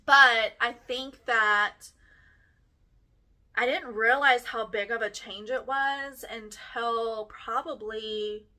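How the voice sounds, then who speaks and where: A woman's voice: a little high, fairly even intonation, slow, bright, forceful tone. A young woman with a Texas accent, host of her own show, telling her own story in a frank, chatty way, full of "like" and "right?". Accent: Texan. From Germany. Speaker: podcast host. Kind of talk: casual personal storytelling.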